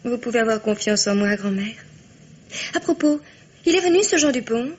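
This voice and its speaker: A young woman playing an earnest, well-bred, spirited granddaughter in a radio drama, polite and at times hesitant, with a slightly breathy, husky voice. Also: a little high, expressive, normal speed, dull audio. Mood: curious. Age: young adult. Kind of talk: French radio drama acting.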